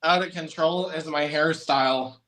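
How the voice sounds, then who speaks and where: A man's voice: a little high, steady tone, normal speed, sharp tone. A young man with a Canadian accent and a youthful voice, chatting casually as a co-host. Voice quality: youthful-sounding voice. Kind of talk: casual chat.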